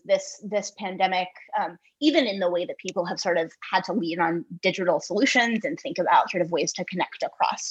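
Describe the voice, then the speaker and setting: A woman giving thoughtful interview answers in an earnest, halting way, repeating words as she reasons. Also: medium pitch, slightly rough, lo-fi sound. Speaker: guest author, poet and essayist.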